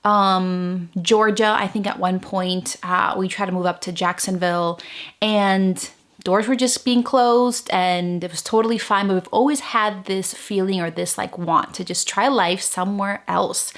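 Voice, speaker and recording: A woman's voice: medium pitch, fairly even intonation, a little slow. A woman from Venezuela in her thirties chats casually to her channel. She talks in a chatty way, with many fillers and restarts. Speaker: vlogger. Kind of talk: casual chat to camera.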